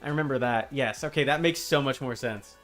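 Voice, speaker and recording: A man chatting casually and rambling as he streams a video game, with an animated, amused manner. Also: mid-range voice, a little fast. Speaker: live streamer. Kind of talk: casual gaming stream chat. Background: video game music.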